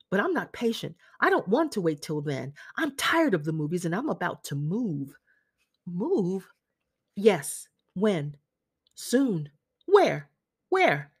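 A woman giving voice to a dreamy young man who is impatient to get away. He speaks in a brooding, wry way, set a little lower than her own voice. His pitch still roams widely as he muses. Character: restless young man, a dreamy warehouse worker. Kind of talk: dramatic reading of a play.

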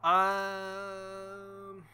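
A young man reading a visual novel aloud and commenting, animated and thinking out loud. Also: high voice, drawn-out words, smooth. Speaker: let's play host.

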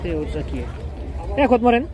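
A man's voice: sits high, big pitch swings, fast. A man with a Northeastern Brazilian accent chatting informally as a market vlogger, asking prices and repeating answers back. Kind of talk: casual on-the-spot market commentary and chat. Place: outdoor pig market. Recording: very loud outdoor livestock market crowd. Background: loud crowd, animals, vehicles.

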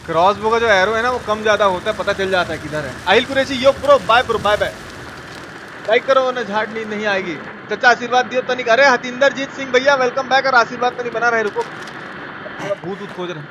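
A man's voice: very high-pitched, brisk. A man from India with a Bihari Hindi accent, giving casual, teasing, foul-mouthed live gaming commentary and chatting with viewers. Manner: colloquial, teasing, swears freely. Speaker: video game live streamer. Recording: clearly noisy, game sound behind.

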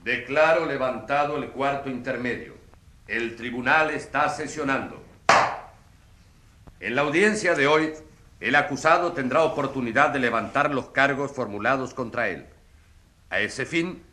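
A man's voice: mid-range voice, sharp tone, lightly acted. Man as a formal judge in an echoing room. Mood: formal, authoritative. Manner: formal, measured. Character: formal, authoritative male judge. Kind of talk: courtroom radio drama acting. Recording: roomy echoing courtroom sound.

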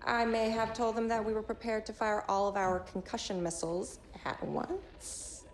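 A woman's voice: medium pitch, steady tone, moderate pace, close to an everyday voice. A woman playing a spirited, cheeky young rebel pilot in a video game, talking informally. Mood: sheepish, pleased. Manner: informal, youthful. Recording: game effects noise behind.

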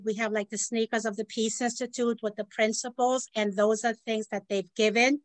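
A woman speaking on a video call, explaining plans in a halting way with many restarts and fillers. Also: mid-range voice, fairly bright tone, no room sound. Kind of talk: casual discussion on a video call.

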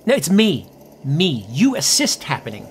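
A man's voice: a little high, wildly swooping pitch, a little slow, slight background noise. An actor playing a vain, needy, pompous washed-up male rock star in a comedy audio drama. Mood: dismissive. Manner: pompous, self-important.

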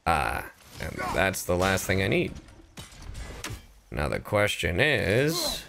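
A man chatting casually on a gaming livestream, animated and profane, swinging between loud outbursts and low asides. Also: slow, gravelly, faint music behind. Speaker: streamer and voice actor. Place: indoor livestream setup.

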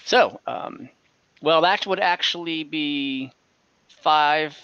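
A man running a tabletop game as dungeon master over a video call, relaxed and hesitant, thinking aloud with many fillers. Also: fairly high pitch, slow, clean tone, dry recording. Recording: dull lo-fi video call audio.

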